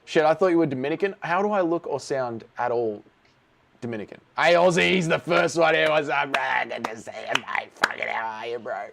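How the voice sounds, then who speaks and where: A man's voice: medium pitch, fairly quick, vocal fry, a bit bright, slight background noise. A middle-aged man streaming live, reading and answering chat in a brash, sarcastic way and swearing freely. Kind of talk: casual livestream chat.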